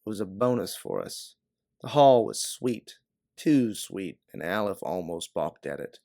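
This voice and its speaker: A man's voice: low voice, very animated, a little slow, vocal fry, warm tone, dry recording, studio quality, heavily acted. A man voicing a guarded, hard-bitten female bounty hunter in a science fiction audiobook. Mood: recounting, guarded. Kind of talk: audiobook character dialogue.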